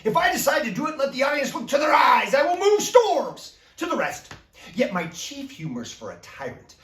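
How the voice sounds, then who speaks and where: A boastful ham of an amateur player, declaiming Shakespearean comedy in a high voice that swings wildly. The delivery is bombastic and stagey, heard from a distance in an echoey room. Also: female voice, exaggerated voice. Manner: bombastic, declamatory, shouting and swooping. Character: boastful, hammy amateur actor craving every part. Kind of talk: Shakespearean comic stage monologue.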